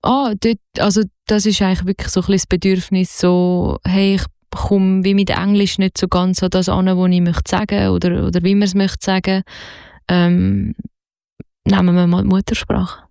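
A woman's voice: mid-range voice, little pitch movement, moderate pace, vocal fry, mellow, clean tone, no room sound, clean studio sound. A young woman from Zurich speaking with a Zurich Swiss German accent, a singer-songwriter talking casually in an interview, hesitant with many fillers and restarts. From Zurich, Switzerland.

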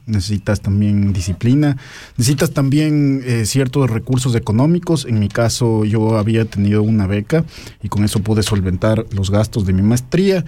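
A man's voice: mid-range voice, mellow. A young man with an Ecuadorian accent, answering interview questions casually and explaining things with some hesitation. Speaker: interview guest, researcher. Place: studio.

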